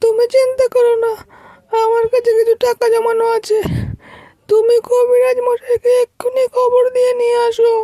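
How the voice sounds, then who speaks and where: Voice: very high voice, completely flat delivery, moderate pace, dark tone, no echo. An actor voicing an anxious, pleading young village boy, a devoted son in a cartoon. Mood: worried. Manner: pleading, urgent.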